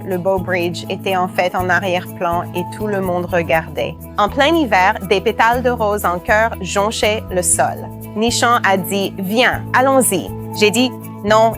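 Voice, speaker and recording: A woman plays a young bride-to-be with her own ideas in a dubbed reality show. Her voice sits at a medium pitch, and music plays clearly underneath. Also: natural voice. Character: young engineer bride wanting something original.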